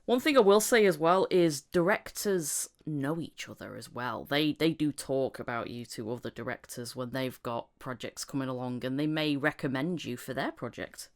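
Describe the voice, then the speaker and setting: A woman's voice: extremely low pitch, moderate pace, hardly any pauses. A woman speaking conversationally as the host of a podcast about voice acting. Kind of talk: casual podcast chat.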